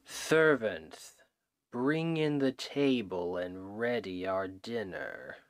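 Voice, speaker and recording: A demon king , voiced by a man, speaks from within a long, cavernous reverb. His voice is gravelly and drags very slowly from word to word. He is a smooth-talking, self-justifying captor, condescending and coaxing, in a dramatic ASMR roleplay. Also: fairly low pitch, expressive, slight background noise. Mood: weary, condescending. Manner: slow, deliberate, persuasive, condescending.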